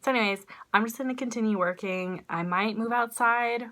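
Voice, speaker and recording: A woman's voice: fairly high pitch, fairly quick, a bit bright. A young woman in her twenties vlogging casually at home with a stuffy, congested nose, rambling with lots of fillers into a phone held at arm's length. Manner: rambling, self-deprecating, lots of "like" and "um". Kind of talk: casual chatty vlog. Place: small room indoors. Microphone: phone camera at arm's length.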